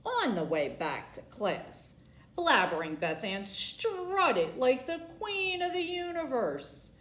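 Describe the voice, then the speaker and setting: High-voiced, very animated woman storyteller. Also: slow pace. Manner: theatrical, asks young listeners questions. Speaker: library storytime reader. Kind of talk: expressive children's storybook read-aloud. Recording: echoey room.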